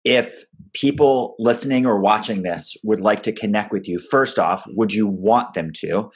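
A man co-hosting a podcast, putting questions and announcements in a measured, orderly style. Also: fairly low pitch, slightly rough. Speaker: podcast co-host.

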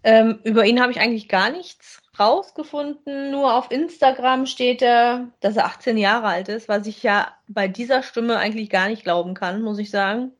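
A woman's voice: a little high, normal speed, few pauses. A woman chatting casually as co-host of a podcast that reviews audio dramas.

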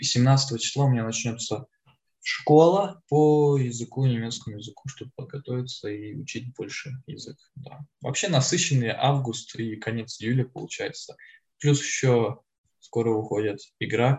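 A man's voice: medium pitch, steady tone, normal speed, a little mellow. Eighteen-year-old guy chatting casually. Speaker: podcast co-host. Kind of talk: casual podcast chat.

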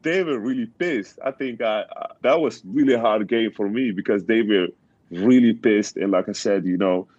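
A man's voice: fairly low pitch, normal speed, darkish tone, dry recording, lo-fi sound. A man from Morocco and the Netherlands, a non-native English speaker, answers interview questions as a national team athlete, searching for words and repeating himself as he tells personal stories. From Morocco, later the Netherlands. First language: not English.

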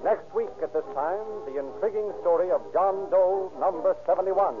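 A man's voice: high voice, normal speed, over loud music. A man narrating a 1930s radio sign-off on a tinny old recording. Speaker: narrator signing off.

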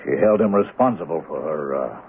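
Low voiced american guy, guilty and halting, old radio play, tinny with hiss. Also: lively intonation, vocal fry, heavily acted. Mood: hesitant, regretful. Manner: halting, apologetic, self-doubting. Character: hesitant, guilt-ridden man giving police a statement. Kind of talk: 1970s radio drama acting.

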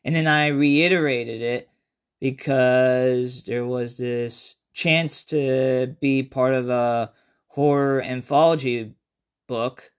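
A man hosting a horror podcast alone, talking casually and haltingly, often repeating and restarting his phrases. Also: medium pitch, fairly even intonation, clean tone. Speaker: podcast host and amateur horror writer. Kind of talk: casual solo podcast talk.

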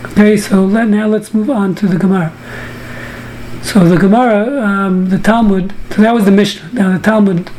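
A woman's voice: mid-range voice, warm tone, slight background noise, poor audio. A woman from the US teaching a Talmud class over a video call, joking and bantering with participants. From United States. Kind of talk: online study class.

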